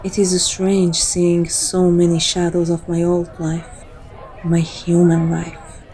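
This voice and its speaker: A woman's voice: fairly low pitch, monotone, takes it slowly, dark tone, some chatter behind, poor audio. A woman playing a playful, seductive vampire in an ASMR roleplay, with a teasing, coaxing and intimate manner. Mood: soft, wistful. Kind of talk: scripted ASMR roleplay acting.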